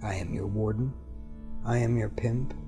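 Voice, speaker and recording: A man delivering a slow, commanding hypnotic monologue, repetitive and dropping to a hush at times. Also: on the low side, steady tone. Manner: commanding, repetitive, hushed in places. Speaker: hypnosis audio speaker. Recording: clear guitar music underneath. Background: guitar music.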